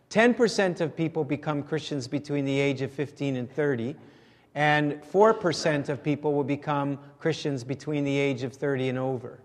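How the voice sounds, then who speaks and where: A man preaching to a congregation, explaining points with fillers and restarts. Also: a little high. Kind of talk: sermon to a congregation. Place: church sanctuary. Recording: reverberant church hall.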